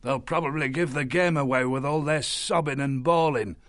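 A man voicing a blunt, menacing male badger warrior in a storybook, with a gruff manner. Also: medium pitch, normal speed, fairly bright tone, no echo, clean studio sound, pitched above the performer's own voice, close to an everyday voice. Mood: threatening.

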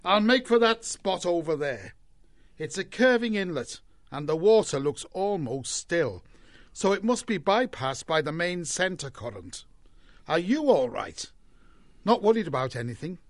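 A man voicing a breezy, confident shrew raft leader in an audiobook, airy and brisk. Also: sits high, very animated, no echo, clean studio sound, pitched above the performer's own voice. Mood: breezy, cheerful. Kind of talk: audiobook character voice.

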